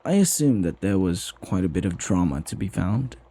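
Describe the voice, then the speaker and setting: A man playing a flirty, husky devoted butler. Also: deep voice, sing-song, normal speed, mellow, full character voice. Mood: teasing, curious. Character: flirty, devoted, teasing butler doting on the master. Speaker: ASMR roleplay voice actor. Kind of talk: ASMR roleplay acting.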